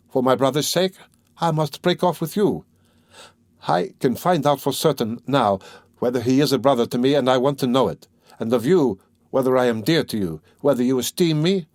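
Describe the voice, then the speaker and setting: A man's voice: mid-range voice, a little mellow, dry recording, studio quality, pitched above the performer's own voice, lightly acted. A man reading aloud, voicing an earnest, spirited young woman who pleads with feeling. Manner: impassioned, pleading.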